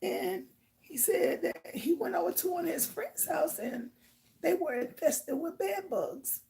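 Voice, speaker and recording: A woman hosting her own live talk show, chatting casually with viewers in a hoarse, raspy voice and rambling with lots of fillers. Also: very high voice, animated, measured pace, warm tone, a little hiss or hum, low-quality recording. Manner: rambling, filler-heavy storytelling. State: hoarse from shouting. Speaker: livestream host.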